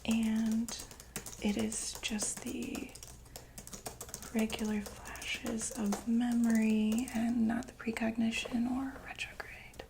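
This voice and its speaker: A woman playing a calm doctor for monsters, asking gentle, patient questions in a soft unvoiced whisper for an ASMR roleplay. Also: a little high, slow pace, gravelly, noisy background.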